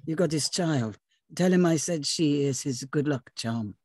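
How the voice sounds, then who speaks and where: A woman's voice: low-pitched, unhurried, a little mellow, no echo, clean studio sound. A woman voicing a devout, shrewd older woman of fifty in 1830s Virginia, in terse, folksy pronouncements at a script reading. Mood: solemn, prophetic. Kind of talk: script table read.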